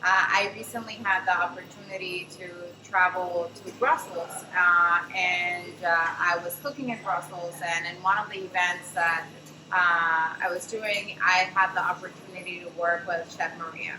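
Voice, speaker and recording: A woman's voice: on the low side, fairly even intonation, moderate pace. Woman host, chatty storyteller, heard from a distance in a noisy, echoey café. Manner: chatty, many fillers and "I'm like". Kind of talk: casual anecdotal storytelling at a panel. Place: café event space.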